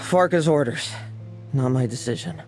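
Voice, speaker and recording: An actor playing a sinister, unrepentant villain experimenter with a gravelly voice in a video game. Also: male voice, mid-range voice, normal speed, a little mellow, soft background music, close to an everyday voice. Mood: coolly menacing.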